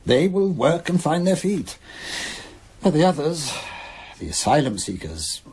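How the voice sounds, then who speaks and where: An actor playing a genial, opinionated middle-aged Berlin publisher in a radio drama, expansive and chuckling, slightly hesitant in phrasing. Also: male voice, on the high side, very animated, measured pace, a little mellow, breathy edge, full character voice. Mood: wry, dismissive. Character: genial, opinionated older Berlin art publisher hosting Christmas guests.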